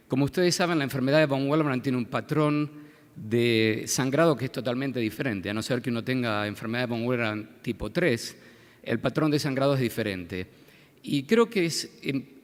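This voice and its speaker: A middle-aged man with an Argentine accent giving a medical conference lecture, halting with many fillers and restarts. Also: mid-range voice. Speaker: visiting hematologist speaker. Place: conference hall. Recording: long hall reverb.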